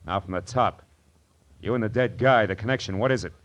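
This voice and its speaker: An actor playing a hardboiled, wisecracking private detective, dry and commanding, in 1940s radio crime drama style. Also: male voice, fairly low pitch, a little fast, lightly acted. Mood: firm interrogation. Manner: dry, sardonic, wordy, commanding.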